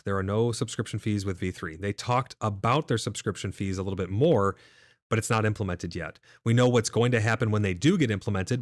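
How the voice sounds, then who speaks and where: A man's voice: lowish voice, no echo. A man hosting a live gaming stream, reading chat aloud and answering viewers casually, his voice a little nasal and stuffed up. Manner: casual, reads chat messages aloud and replies. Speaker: livestream host.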